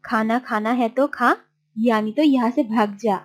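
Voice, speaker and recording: A woman's voice: on the high side, measured pace, lightly acted. A woman voicing a stingy, bossy village aunt in a children's cartoon, haughty and scolding. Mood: scornful, scolding. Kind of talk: children's cartoon story voice acting.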